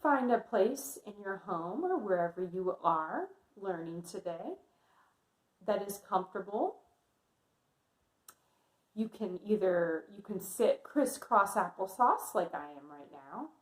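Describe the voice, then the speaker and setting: Slow-paced woman teacher in an echoey room. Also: medium pitch, very animated. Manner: encouraging, checks in with listeners often. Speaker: kindergarten teacher. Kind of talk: read-aloud lesson for young children.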